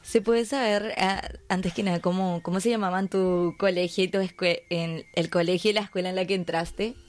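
A woman's voice: fairly low pitch, fairly even intonation, moderate pace. A woman with a Paraguayan Spanish accent presenting a live radio show and interviewing as its host.

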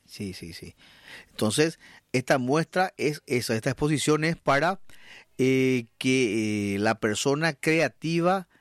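A radio host conducts a casual interview, chatty and quick with short agreeing interjections. The voice is mid-pitched and recorded dry and close. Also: animated, dry recording. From Paraguay.

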